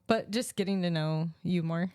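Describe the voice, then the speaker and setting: A woman hosts a podcast in a relaxed conversational style. Her voice sits a little lower than most women's, and the sound is dry, with hardly any room in it. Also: normal speed, clean tone, dry recording. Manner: self-described loud talker. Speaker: podcast host. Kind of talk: casual chat.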